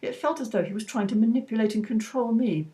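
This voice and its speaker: A woman reading her own personal essay aloud in a measured, reflective and slightly wry way. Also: fairly high pitch, mellow.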